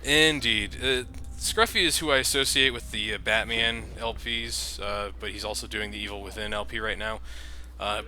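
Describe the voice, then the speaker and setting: A man's voice: lowish voice, steady tone, moderate pace, sharp tone. A man hosting a video game playthrough with casual, rambling, conversational commentary. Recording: clearly noisy background.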